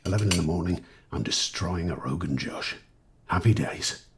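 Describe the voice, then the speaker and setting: A husky, troubled gambling addict. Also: male voice, low voice, expressive, normal speed, heavily acted. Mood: wry, hesitant. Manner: muttering to himself, sweary, sardonic. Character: troubled gambling addict, cynical, scheming, self-doubting man. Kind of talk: naturalistic audio drama acting, part soliloquy.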